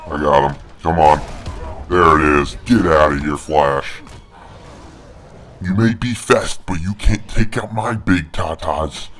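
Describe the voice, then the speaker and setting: A man's voice: a bass voice, slow pace, fairly bright tone, heavily acted. A man voicing a snarky, crude big monster brute while doing casual, jokey video game commentary. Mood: taunting.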